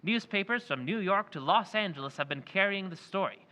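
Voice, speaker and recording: A man playing a slick, bright-voiced mysterious stranger. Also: sits high, animated, a little fast. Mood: smooth persuasive. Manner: persuasive, salesmanlike. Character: smooth-talking mysterious male stranger posing as an antiques dealer. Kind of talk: old-time radio drama recreation.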